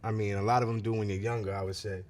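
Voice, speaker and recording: A man's voice: fairly low pitch, level tone, very fast, a little mellow. A young man from the area, a musician guest talking casually and streetwise on a podcast. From Cambridge, Massachusetts. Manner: laid-back, streetwise, joking.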